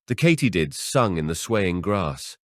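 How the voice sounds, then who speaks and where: Very deep-voiced man reading horror fiction. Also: sing-song, a little slow, a bit bright, no echo, studio quality. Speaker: audiobook narrator. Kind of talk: scripted horror story narration.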